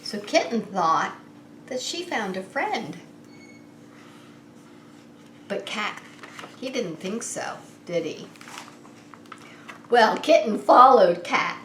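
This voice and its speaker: Woman telling a children's story, very animated pitch. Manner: animated, unhurried, asks the child listeners questions. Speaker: preschool story time host and teacher. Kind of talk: expressive picture-book read-aloud for young children. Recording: roomy echo with faint background noise.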